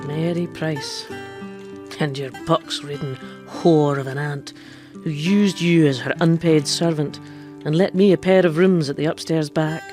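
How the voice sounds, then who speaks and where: A woman's voice: low-pitched, steady tone, measured pace, music in the background. An actress playing a bitter, defiant, foul-mouthed woman who lived as a man, in a period radio drama. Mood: bitter, wistful. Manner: sharp-tongued, theatrical.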